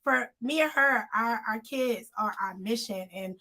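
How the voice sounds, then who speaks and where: Chatty woman co-host, slightly hoarse. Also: a little high, unhurried, noisy background. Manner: chatty, addresses viewers directly. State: slightly hoarse, voice still recovering. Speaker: magazine co-host. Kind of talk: casual live-stream chat.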